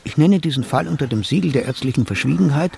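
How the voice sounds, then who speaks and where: An actor playing a pompous, self-analysing psychotherapist in a radio play, speaking in a stilted, long-winded, old-fashioned way. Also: male voice, fairly high pitch, lively intonation, quick pace, gravelly, darkish tone, slight background noise. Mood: solemn, ominous. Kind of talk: German radio play acting.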